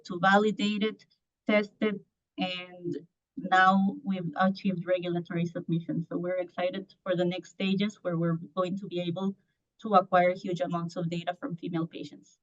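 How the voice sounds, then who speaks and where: A woman's voice: mid-range voice, little pitch movement, normal speed. A woman from Costa Rica in her thirties, a startup founder answering on a panel in an earnest way with many restarts. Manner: earnest, lots of restarts and fillers. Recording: muffled lo-fi audio.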